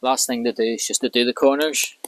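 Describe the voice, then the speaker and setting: A man in his thirties, a fishing vlogger, calmly explaining each step of his rig while working outdoors by a lake with a clip-on mic. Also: medium pitch, a little fast, a little hiss or hum. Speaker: carp angler vlogger. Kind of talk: casual how-to commentary.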